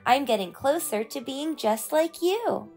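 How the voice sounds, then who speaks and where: A woman's voice: sits high, animated, a little slow, a little mellow, soft background music, pitched above the performer's own voice. A woman voicing an eager, earnest young boy in a children's storybook read-aloud. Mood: excited, earnest. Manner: eager, proud, explaining earnestly. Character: excitable, earnest young boy learning martial arts.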